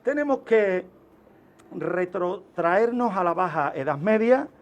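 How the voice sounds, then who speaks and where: A man with a distinctly high voice explains criminology as a radio guest. He teaches as he talks, stressing key points emphatically and posing rhetorical questions before answering them. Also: lively intonation, slow, lo-fi sound. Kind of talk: explaining criminology and a historical case on a radio segment. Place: radio studio.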